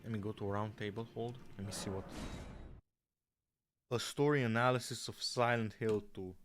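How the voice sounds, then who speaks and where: A man's voice: fairly low pitch, steady tone, moderate pace, noisy background. Rambling young man, swearing. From Romania. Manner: rambling, swears a lot, says "bro" often. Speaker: gaming streamer. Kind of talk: casual gaming chat.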